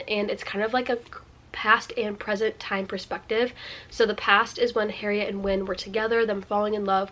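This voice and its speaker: A woman's voice: mid-range voice, fairly bright tone. A young woman with a stuffy cold, chatting to camera in a bubbly, gushing way, full of likes and ums. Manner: bubbly, gushing, filler-heavy. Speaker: book vlogger. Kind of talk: casual chat to camera. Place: indoors at home by a sunny window.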